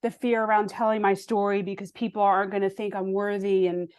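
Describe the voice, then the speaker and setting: A woman's voice: medium pitch, level tone, a little fast, a little mellow, smooth. A woman hosting an interview who speaks in an affirming, encouraging way. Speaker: host. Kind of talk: conversational interview.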